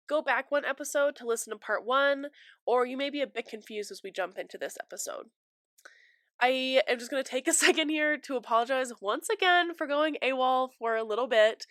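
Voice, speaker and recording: A woman's voice: fairly high pitch, a bit bright, clear, resonant tone, dry recording. A young adult woman hosting a true crime podcast, stuffy from a cold, chatty and apologetic with many fillers, on a close mic. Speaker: podcast host.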